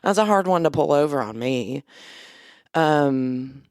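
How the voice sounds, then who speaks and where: A woman with a deep voice co-hosting a podcast, chatting in a laid-back way and chuckling as she tells stories. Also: expressive, measured pace, a little mellow, clean tone. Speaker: podcast co-host. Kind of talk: casual chat. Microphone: studio podcast microphone.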